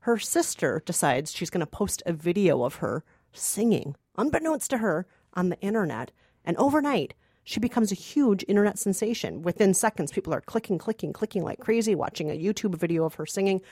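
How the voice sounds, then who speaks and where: A woman's voice: lowish voice, sing-song, fairly quick, darkish tone, slightly rough, no room sound, studio quality. A woman hosting a film review podcast, telling things in a dramatic, emphatic way. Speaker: podcast host. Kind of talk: lively film review chat.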